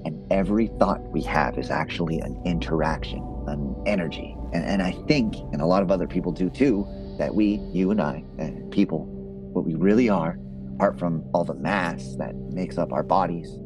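Audio drama role: thirties ghost obsessive, male, deep register, prickly and self-correcting, naturalistic delivery. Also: over music. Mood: earnest, explanatory. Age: 30s. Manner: hesitant, halting, self-correcting. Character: defensive, awkward thirty-one-year-old man obsessed with ghosts.

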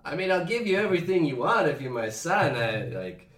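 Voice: mid-range voice, very animated, brisk, fairly bright tone. A performer playing an awkward, eager stranger who rambles through an improvised comedy scene. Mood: awkward, hopeful. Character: awkward, eager French stranger seeking a replacement son. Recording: roomy, far from the mic.

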